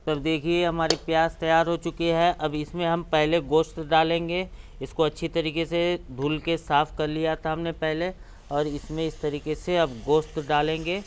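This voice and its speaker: A man's voice: on the high side, level tone, moderate pace, dull audio, slight background noise. A man hosting a cooking channel, walking through each step of a recipe outdoors beside a clay stove. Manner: explains each step, points things out.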